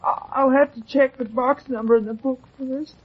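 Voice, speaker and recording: A woman's voice: high voice, steady tone, moderate pace, breathy edge. An actress playing an eager, flustered woman clerk in a vintage radio drama. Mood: helpful. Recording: muffled vintage radio recording.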